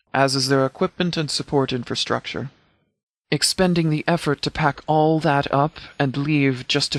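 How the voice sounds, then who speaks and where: Game role: male actor as a composed department commander, dry and precise, mid-pitched male voice, close dry sound. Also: dry recording. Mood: analytical, matter-of-fact. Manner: measured, formal, precise, deadpan. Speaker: game character, law officer. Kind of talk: video game dialogue voice lines.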